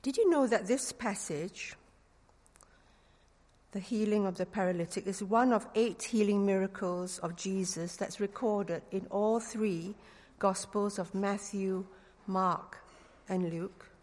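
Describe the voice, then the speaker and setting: A middle-aged woman from Singapore with a Singaporean English accent and a touch of London slang, giving an earnest sermon with gentle humor and leading prayer. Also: mid-range voice, slow pace, in a large hall. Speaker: preacher.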